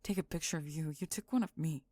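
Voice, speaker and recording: Woman playing a low-voiced deadpan goth girl. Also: no room sound. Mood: vulnerable, confessional. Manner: halting, dry, understated. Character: deadpan young goth woman, guarded, tender underneath. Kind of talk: intimate ASMR audio roleplay.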